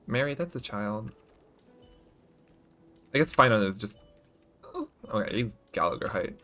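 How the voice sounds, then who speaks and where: A man's voice: fairly low pitch, lively intonation, a little slow, sharp tone. A man giving casual live game commentary, informal and a little hesitant, with muttered asides. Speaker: game streamer. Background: game music.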